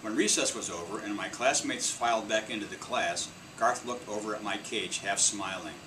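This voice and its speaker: A man reading a children's story aloud in an expressive storytelling style. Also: fairly low pitch, normal speed. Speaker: storybook reader. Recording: distant mic, clear air-conditioning hum.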